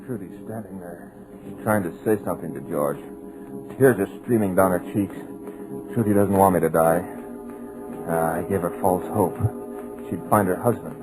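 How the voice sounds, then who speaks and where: A man's voice: sits low, moderate pace, long pauses, natural voice, low-quality recording. A man playing a hypnotized male writer in an old radio drama, narrating his own helpless terror in urgent bursts. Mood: desperate. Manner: breathless, urgent inner narration. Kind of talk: 1940s radio drama acting. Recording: old radio recording with music behind.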